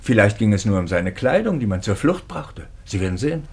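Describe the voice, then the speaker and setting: A man's voice: on the low side, big pitch swings, a little fast, a little mellow, husky. An actor playing an earnest, loyal clergyman in a German radio play, speaking formally and with emphasis. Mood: insistent. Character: earnest, loyal clergyman defending his fellow priest.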